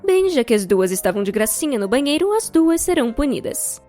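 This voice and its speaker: A woman's voice: sits high, big pitch swings, a little fast, mellow, soft background music. Woman playing a stern Brazilian school principal. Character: stern male school principal handing out punishment. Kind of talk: animated storytime fan dub.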